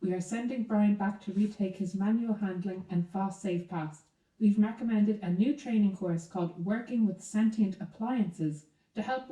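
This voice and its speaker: A woman's voice: medium pitch, dark tone, distant mic, natural voice. A woman playing an officious factory supervisor in a radio play, reporting formally and matter-of-factly. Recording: echoey room, away from mic.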